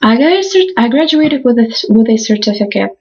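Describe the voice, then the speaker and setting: A young woman reads her class presentation in an earnest, halting way, often stopping to restart her sentences. Her voice sits a little high and sounds breathy. The recording is muffled and lo-fi. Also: animated, darkish tone. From Ukraine. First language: Russian. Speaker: college student.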